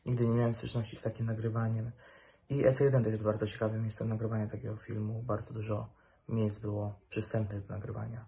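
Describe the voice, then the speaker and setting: Flat, gravelly teenage boy. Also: fairly low pitch, quick pace. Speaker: student. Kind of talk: informal testimonial to camera. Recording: noisy phone selfie recording.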